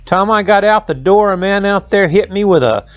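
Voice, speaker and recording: A man playing a battered, panicky backwoods highway robber telling his woes in a storybook tale. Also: animated, normal speed, no room sound, lo-fi sound. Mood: panicked, wailing.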